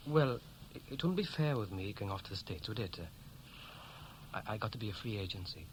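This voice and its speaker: A man's voice: lowish voice, animated, normal speed, lightly acted. An actor playing a restless, evasive young Welshman in a 1960s radio play, speaking in a hesitant, colloquial way. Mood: awkwardly boastful. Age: young adult. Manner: hesitant, colloquial, self-correcting. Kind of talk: 1960s radio play acting, naturalistic dialogue. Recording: noisy vintage 1960s radio recording.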